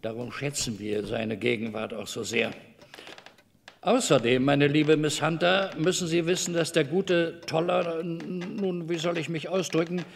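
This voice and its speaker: A man's voice: fairly high pitch, steady tone, measured pace, a little hiss or hum. A man playing an evasive, overly cordial middle-aged master of a house in a German radio drama, wordy, hesitant and patronizing. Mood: affable, wordy.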